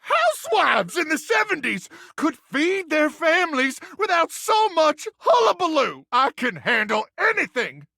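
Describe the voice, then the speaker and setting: An actor voicing a brash, hot-headed American video game character, a folksy microwave turned rambling soldier, full of bluster and slang. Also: male voice, very high-pitched, huge pitch swings, unhurried, vocal fry, hardly any pauses, pushed and bright, no room sound, clean studio sound, heavily acted. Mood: heated, boastful.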